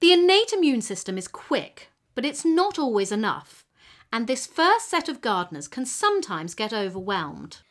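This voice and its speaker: Lively, high-voiced woman playing a teacherly cell gardener. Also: moderate pace. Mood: keen explaining. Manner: explanatory, teacherly, encouraging. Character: knowledgeable, proud, friendly T cell immune gardener. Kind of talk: scripted educational children's audio story.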